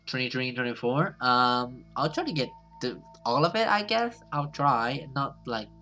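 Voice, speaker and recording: Bright-voiced man doing game commentary. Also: medium pitch, expressive, normal speed, faint music behind. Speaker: gaming video host. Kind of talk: casual let's play commentary, reading game text aloud.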